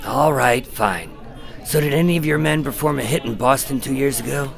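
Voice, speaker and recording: An actor playing a measured, dogged undercover federal agent in a radio drama, with an understated, gravelly delivery. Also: male voice, medium pitch, some chatter behind, natural voice. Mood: probing. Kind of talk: radio drama acting and narration. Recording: music behind the voice.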